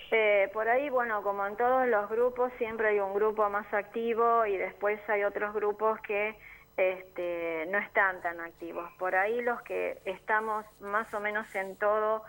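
A woman's voice: mid-range voice, fairly even intonation, unhurried, fairly bright tone, rough recording. An Argentine woman, a neighborhood assembly member, explaining her group's work earnestly in a radio interview. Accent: Argentine Spanish. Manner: earnest, explanatory, with frequent fillers. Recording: community radio studio.

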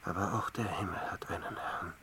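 A man's voice: low voice, steady tone, moderate pace, noisy background. A male actor playing a frightened, pious hermit saint tormented by visions in a literary radio drama, speaking anxiously and pleadingly. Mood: hushed doubt. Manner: anxious, pleading, breathy outbursts and whispers.

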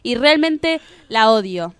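A woman's voice: a little high, lively intonation, takes it slowly, a bit bright, clear, resonant tone, no room sound. A woman with an Argentine Spanish accent giving blunt, colloquial opinions in a casual chat.

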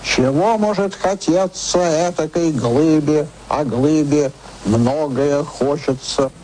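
A man declaims poetry with strong emphasis. He reads slowly in a fairly high voice, and faint noise sits under the recording. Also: mellow, poor audio. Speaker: reader of verse. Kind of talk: archival poetry recitation.

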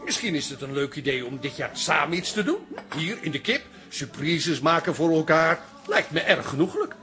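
A pompous professor in a radio comedy. His pitch is on the high side and swings widely, and he phrases everything formally, like someone easily offended. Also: sing-song, moderate pace, sharp tone. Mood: polite, inquisitive. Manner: formal, precise wording, pompous. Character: stiff, easily offended, self-important male professor. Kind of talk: Dutch radio comedy drama acting.

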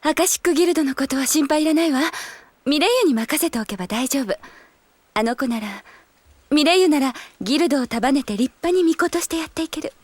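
A reserved, world-weary young wanderer, speaking in a high, soft and restrained voice. It is audio drama acting, recorded dry and close. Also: female voice, animated, brisk, no room sound. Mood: wistful.

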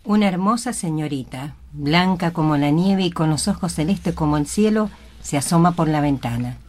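A woman giving a solemn, literary narration for a radio drama in a measured way. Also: deep voice, expressive, a little mellow. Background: faint music.

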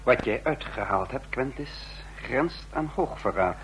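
An actor playing a stern intelligence chief who also narrates a radio drama, grave, deliberate and authoritative. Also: male voice, mid-range voice, lively intonation, a bit bright, slight background noise, low-quality recording. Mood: cold, stern. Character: commanding intelligence chief, coldly furious, remorseful narrator. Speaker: narrator and lead character. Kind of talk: dramatic radio play acting.

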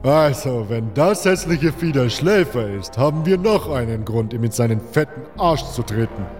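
An actor playing a blunt, sceptical warrior companion in a fantasy audio drama. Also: male voice, medium pitch, lively intonation, moderate pace, a little mellow, soft background music, lightly acted. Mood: scornful. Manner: blunt, dismissive. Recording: long hall-like reverb.

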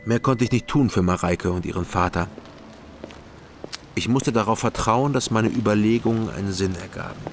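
An actor playing a guarded, melancholy private detective, narrating in a measured, confessional way in a radio drama. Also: male voice, on the low side, a little hiss or hum, close to an everyday voice. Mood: guarded, reflective. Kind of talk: radio drama first-person narration and dialogue.

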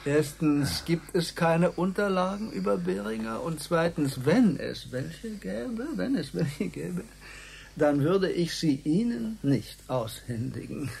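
An actor playing a dry, politely defiant private detective in a German radio drama, speaking in a hesitant, wry way. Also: male voice, a little high, sing-song, slow pace, vocal fry, darkish tone, husky, full character voice.

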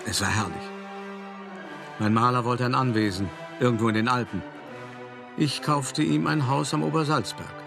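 An actor playing an urbane, self-satisfied art dealer, telling his story in a measured, articulate radio play monologue. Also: mid-range voice, vocal fry, over music, close to an everyday voice. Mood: smug, satisfied, then reminiscing, wry.

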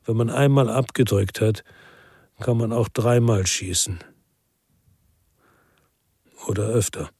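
An actor playing a world-weary middle-aged ex-cop, narrating a crime radio drama in a terse, brooding, understated manner. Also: male voice, fairly low pitch, slow, pauses often, darkish tone, slightly rough, no room sound, studio quality, exaggerated voice. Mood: somber, brooding. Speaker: narrator and lead character.

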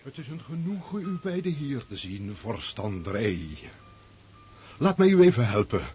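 An actor playing a courteous, deferential old manservant in a radio drama, speaking formally. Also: male voice, mid-range voice, sing-song, a little slow, darkish tone. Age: older.

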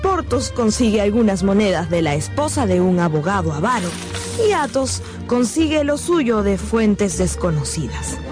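A woman's voice: mid-range voice, very animated, normal speed. A woman delivering scripted dramatic narration for a radio drama. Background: music.

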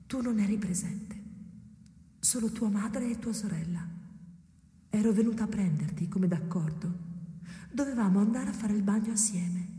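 Long reverb, female actor as ghostly resigned wife, mellow hushed voice, measured, quietly reproachful, radio play. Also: medium pitch, a little hiss or hum, natural voice. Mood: reflective. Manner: measured, hushed, often slipping into near whisper. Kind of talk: experimental radio drama acting.

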